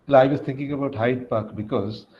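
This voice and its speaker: A man, chatting as an interviewer in a halting, digressive way. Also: medium pitch, steady tone. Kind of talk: casual conversation, anecdote telling. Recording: dull lo-fi call with background noise.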